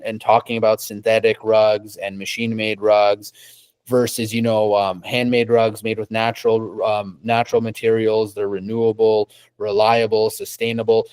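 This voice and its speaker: A man's voice: lowish voice, darkish tone, dry recording. A young man from Canada, a rug dealer, answering interview questions casually at his shop desk, often repeating and restarting words.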